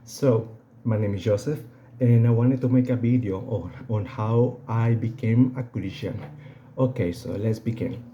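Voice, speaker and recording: A young man with a Venezuelan accent and native Spanish, giving an unscripted personal testimony in a halting way, often repeating phrases. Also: lowish voice, warm tone, highs cut off, a little hiss or hum. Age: young adult.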